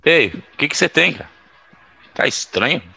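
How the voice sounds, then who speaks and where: An actor playing a teasing, foul-mouthed young buddy in an audio drama, raspy and mocking with slangy speech. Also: male voice, fairly high pitch, very animated, normal speed, sharp tone, exaggerated voice.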